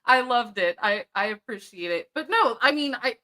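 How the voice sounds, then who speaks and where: A woman hosting a casual commentary chat, chatty and quick to laugh. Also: a little high, animated, sharp tone. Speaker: host.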